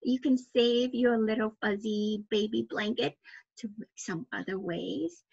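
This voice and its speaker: A middle-aged woman reading a children's picture book aloud in an expressive, deliberate storytelling manner. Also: fairly high pitch, vocal fry, husky, no room sound. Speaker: librarian storytime reader. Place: small indoor room with bookshelves. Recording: dull, lo-fi webcam audio.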